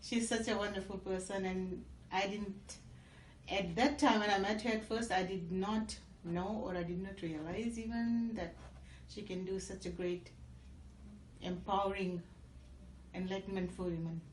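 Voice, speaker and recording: A middle-aged woman from Fiji speaks as an interview guest in an even, mid-pitched voice, earnest and full of ums and uhs. The room rings with echo, and a faint noise sits behind her. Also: unhurried. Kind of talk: casual interview.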